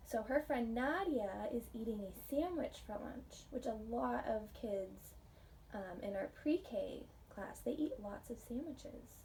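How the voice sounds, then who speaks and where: Woman, preschool read-aloud, unhurried pace, mellow tone, gentle teacherly phrasing, distant mic with faint background noise. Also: on the high side, animated. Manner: gentle, simple teacherly phrasing, pausing to ask questions. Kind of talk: children's read-aloud with questions to young listeners.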